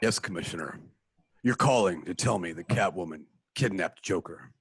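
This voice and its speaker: A man voicing a calm, composed wealthy hero in a home-recorded radio play, polite in manner. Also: sits low, moderate pace, husky, no room sound, heavily acted.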